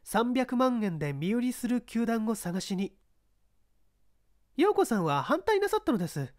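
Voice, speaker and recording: A woman's voice: a little high, very animated, measured pace, a little mellow, no echo, clean studio sound. A woman playing a young actress who speaks politely and earnestly, pleading, in a dramatised audiobook.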